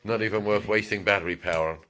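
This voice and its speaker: Low-voiced man giving a casual demo. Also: steady tone, fast talker, bright, forceful tone. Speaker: radio repair technician demonstrating a converted car radio. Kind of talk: casual unscripted product demonstration.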